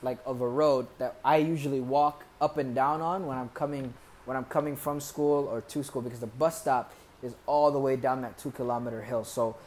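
A man's voice: mid-range voice, moderate pace. Young American man telling jokey stories. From United States. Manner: animated, joking, laughs through his stories. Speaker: podcast guest. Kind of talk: casual conversational storytelling.

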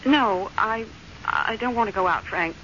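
An actress playing a prim, stubborn American wife in an old-time radio drama, clipped, chiding and quick to take offence. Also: female voice, mid-range voice, a bit bright, lightly acted. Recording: muffled, noisy old radio recording.

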